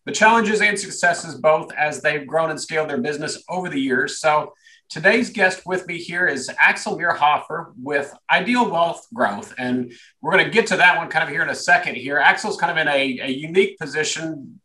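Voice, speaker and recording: A man's voice: on the high side, moderate pace, pushed and bright, rough recording. A man hosting a business podcast, speaking in a loose, rambling way with repeated words. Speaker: podcast host. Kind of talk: podcast interview.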